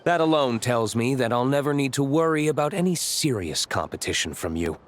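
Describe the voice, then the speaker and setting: A man voicing a proud, courteous winery owner in a video game, speaking in a measured, dry, formal way. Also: mid-range voice, lively intonation, a little hiss or hum, natural voice. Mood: cool, dismissive. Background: music.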